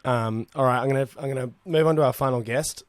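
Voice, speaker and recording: A man hosting a casual online chat with artists, relaxed and rambling, with many fillers and restarts. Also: medium pitch, fast talker, no room sound, studio quality. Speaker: host.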